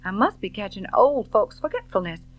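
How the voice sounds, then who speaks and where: A woman voicing a headstrong, impatient young Southern woman in an audiobook novel, brisk and emphatic. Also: medium pitch, sing-song, soft background music, voice pushed up, poor audio.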